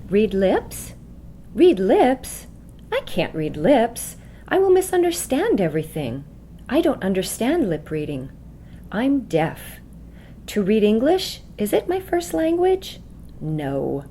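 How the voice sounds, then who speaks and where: A woman's voice: fairly high pitch, huge pitch swings, slow, mellow, full character voice. A woman voicing an earnest, insistent deaf patient in an educational dramatization. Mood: indignant, insistent. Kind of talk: voiced dialogue and scripted explanation in an educational video. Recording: faint background noise.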